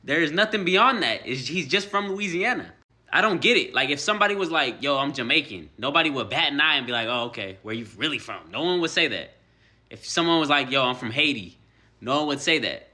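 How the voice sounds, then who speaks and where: A man's voice: a little high, brisk. An American man from a played clip ranting casually and repeating himself in exasperation. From United States. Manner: animated, repetitive, exasperated ranting.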